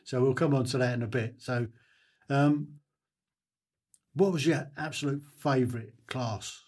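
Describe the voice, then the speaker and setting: A man interviewing casually, asking questions in a halting, hesitant way that tends to trail off. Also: medium pitch, darkish tone.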